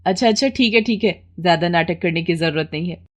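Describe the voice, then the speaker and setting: A woman's voice: fairly low pitch, quick pace, lightly acted. A woman voicing a haughty rich employer who scolds and gives orders in a moral story. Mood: scornful, bossy. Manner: bossy, scolding, commanding. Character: haughty rich female employer, bossy, sharp-tongued.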